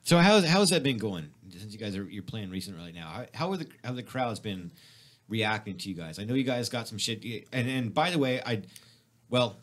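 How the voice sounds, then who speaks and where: Rambling, cursing radio host. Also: male voice, fairly low pitch, expressive, normal speed, a bit bright. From Mexican heritage, grew up speaking Spanish. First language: Spanish. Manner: rambling, swears freely, many restarts. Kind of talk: casual profane radio chat. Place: radio studio.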